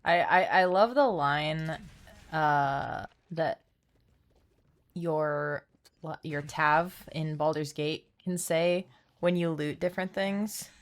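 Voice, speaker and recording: A woman's voice: low-pitched, takes it slowly. A woman streamer giving casual, playful live commentary on a game, with a giggly, teasing manner.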